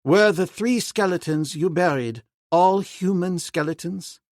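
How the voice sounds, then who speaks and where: A man reading an audiobook, voicing a calm, decisive young man raised in the jungle who speaks plainly. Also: high-pitched, a little slow, no room sound, studio quality, voice pushed up. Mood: probing. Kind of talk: audiobook character voice.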